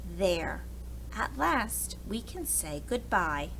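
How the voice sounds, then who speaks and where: A woman's voice: medium pitch, sing-song, slow, gravelly, fairly bright tone, low-quality recording. A woman reading a storybook aloud expressively for young children, recorded with background noise.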